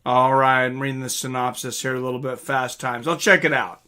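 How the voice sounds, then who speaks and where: A man's voice: mid-range voice, flat delivery, brisk, bright, forceful tone. A man hosting a live stream, chatting casually and reading out comments from viewers.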